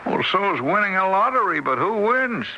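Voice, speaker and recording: A man's voice: high-pitched, sing-song, normal speed, bright, forceful tone, lo-fi sound, exaggerated voice. An actor playing a fretful, wistful elderly male ghost in a radio drama, hesitant and stammering, with frequent little exclamations. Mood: doubtful, curious. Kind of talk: 1970s radio drama acting. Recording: noisy old 1970s radio broadcast.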